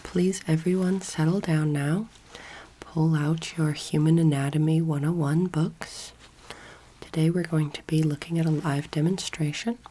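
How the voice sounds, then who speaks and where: A young woman speaking softly and breathily, often near a whisper, in a slow, gentle ASMR roleplay lecture as a teacher. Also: deep voice, fairly even intonation. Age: young adult. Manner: slow, gentle, deliberate instruction.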